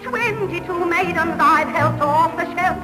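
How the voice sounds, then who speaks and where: A woman's voice: very high voice, measured pace. A woman singing a comic music-hall song. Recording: song with loud music behind.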